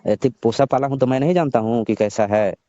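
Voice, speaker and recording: Man acting as middle-aged father-in-law, dark soft-edged voice, coaxing, proud of family honour, phone drama, dull lo-fi sound. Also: medium pitch, brisk, no echo, close to an everyday voice. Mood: defensive. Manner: coaxing, reassuring. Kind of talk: staged phone-call drama in colloquial speech. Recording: dull, lo-fi dry call audio.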